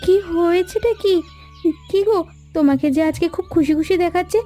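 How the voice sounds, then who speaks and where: A woman's voice: extremely high pitch, a little fast, mellow, faint music behind. A woman voicing an anxious, caring wife from a poor village household in a cartoon folk tale. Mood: surprised, curious.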